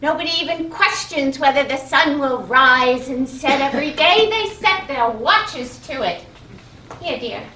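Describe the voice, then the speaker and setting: An actress playing a chatty, fussy, doting aunt goddess in a live stage comedy. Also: female voice, sits high, lively intonation, unhurried, bright, forceful tone, exaggerated voice. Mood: brisk, nagging. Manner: fussy, chirpy, matter-of-fact. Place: live in a playhouse, far from the mic. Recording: distant, echoey stage with clear noise.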